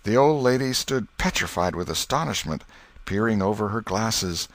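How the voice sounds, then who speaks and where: A man reading an audiobook as expressive storybook narration. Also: on the low side, normal speed, dry recording. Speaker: audiobook reader.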